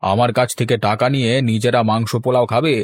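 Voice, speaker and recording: A man's voice: medium pitch, steady tone, fast talker, no echo, lightly acted. Male actor as tight-fisted, quarrelsome cartoon husband; sarcastic scolding, medium register, dry studio sound. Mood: dismissive, argumentative. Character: stingy, grumbling married man who loves free feasts. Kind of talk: Bengali cartoon voice acting.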